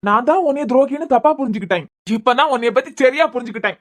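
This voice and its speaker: A woman's voice: high-pitched, expressive, rapid-fire. A woman voicing a bitter, hurt young husband, sarcastic and repeating words in anger. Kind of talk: amateur audio drama.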